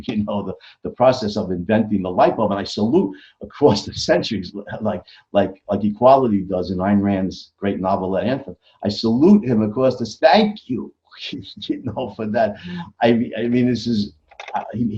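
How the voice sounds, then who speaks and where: American male podcast guest. Very mellow, dark tone, emphatic, halting repetitions, slightly lo-fi sound. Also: lowish voice. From United States. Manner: emphatic, stammering with repeated words. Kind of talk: conversational interview. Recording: dull lo-fi audio.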